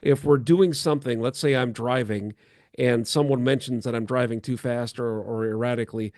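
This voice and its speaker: A man hosting a casual church chat show, speaking off the cuff in a halting way with frequent pauses. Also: mid-range voice, fairly even intonation, a little mellow, no room sound, clean studio sound.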